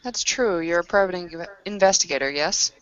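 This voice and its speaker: A woman voicing an earnest, worried young woman who speaks politely and haltingly in a roleplaying game. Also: fairly low pitch, smooth, dry recording, lo-fi sound, close to an everyday voice.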